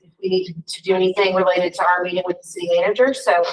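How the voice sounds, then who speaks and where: A woman chairing a board meeting in a relaxed, informal way. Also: fairly low pitch, fast, a little hiss or hum. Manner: warm, informal, lots of fillers. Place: meeting room. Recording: distant room mic at a meeting.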